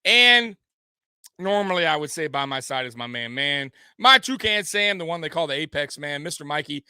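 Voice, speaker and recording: A man hosts a laid-back sports recap and drifts from one thought to the next as he answers his live chat. He sounds a little tipsy. His voice is bright and forceful, recorded dry and close with no room echo. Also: a little high, expressive, moderate pace, smooth. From Detroit area. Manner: loose, rambling, talks to the chat. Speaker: host. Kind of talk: casual sports recap show.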